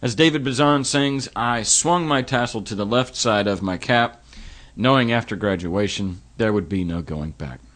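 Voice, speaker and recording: A middle-aged man hosting a podcast, talking in an earnest, reflective way that turns halting when he speaks of personal matters. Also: lowish voice, a bit bright. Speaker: podcast host. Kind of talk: podcast intro and interview.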